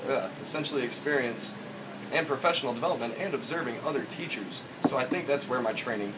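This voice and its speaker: A man's voice: medium pitch, fast talker. A man working as a college instructor, talking in a group discussion read aloud. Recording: distant mic in noisy room.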